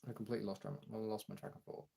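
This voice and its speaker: Very deep, gravelly man, halting chat. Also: level tone, warm tone. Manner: halting, searching for words, trailing off. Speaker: streamer. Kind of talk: casual personal chat, thinking aloud.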